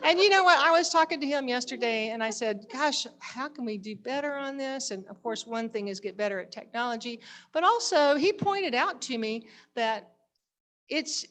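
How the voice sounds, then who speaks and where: A woman's voice: high-pitched, animated, moderate pace, a bit bright. A chatty, enthusiastic woman giving an informal report to members at a live meeting as a society director. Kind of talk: informal spoken presentation to members.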